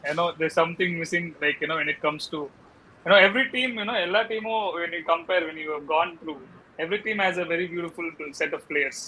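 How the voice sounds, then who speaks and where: A man's voice: a little high, very fast, sharp tone, low-quality recording. A man with an Indian accent talking casually as a cricket panelist, hesitant and often padding his sentences with filler phrases. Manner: hesitant, repeats "you know" constantly. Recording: faint background noise.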